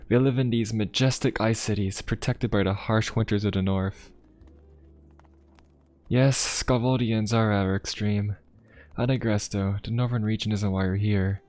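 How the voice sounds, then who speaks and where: Man playing a low-voiced, courteous young fantasy pope. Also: natural voice. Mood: courteous, explaining. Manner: polite, formal, gently musing. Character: courteous, inquisitive young male pope of a steampunk fantasy city. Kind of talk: ASMR roleplay addressed to the listener. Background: music.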